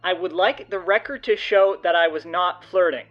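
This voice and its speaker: A woman's voice: on the low side, normal speed, lo-fi sound, faint music behind, natural voice. An actress voicing a teenage boy who gets flustered and defensive and stammers. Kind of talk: audio drama acting.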